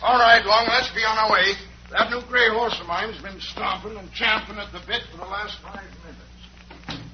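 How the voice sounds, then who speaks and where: An actor playing a cocky, bossy crook who poses as a folksy rancher in an old-time western radio drama. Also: male voice, high-pitched, fairly even intonation, normal speed, lightly acted. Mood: falsely friendly. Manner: folksy, smooth-talking, cocky. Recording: noisy, muffled old radio recording.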